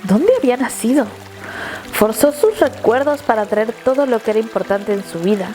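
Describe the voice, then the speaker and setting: A woman narrator reading a scripted dramatic story in a measured, literary storytelling manner. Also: a little high, expressive, warm tone, soft background music.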